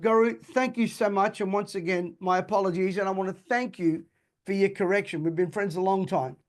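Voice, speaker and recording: A man's voice: high voice, fairly even intonation, fairly quick. A man hosting an interview, earnest and apologetic, whose first language is Calabrian. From Australia. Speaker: host.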